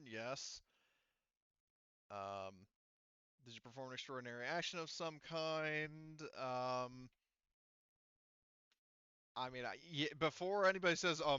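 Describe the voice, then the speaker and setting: A man's voice: medium pitch, bright, forceful tone, smooth, no echo. A man acting as game master, talking casually through a tabletop roleplaying session and swearing now and then. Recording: slightly dull, dry close-up audio.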